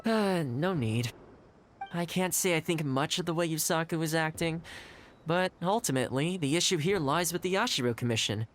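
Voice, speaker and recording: A man plays an easygoing, earnest official in a video game. He negotiates reasonably in a conversational voice with lively pitch movement. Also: normal speed, a little hiss or hum, close to an everyday voice. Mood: resigned, responsible. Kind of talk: video game character acting.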